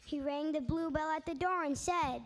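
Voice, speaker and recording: A woman's voice: high-pitched, moderate pace. A woman reading a children's story aloud as narrator in reader's theatre, recorded in a reverberant hall. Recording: reverberant hall, faint background noise.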